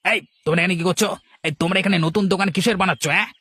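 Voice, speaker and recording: A man voicing a proud, gruff village snack seller in a cartoon, talking in a brusque, lecturing way. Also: sits high, steady tone, rapid-fire, pushed and bright, no room sound, clean studio sound, full character voice. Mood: suspicious, annoyed. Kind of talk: animated moral story dialogue.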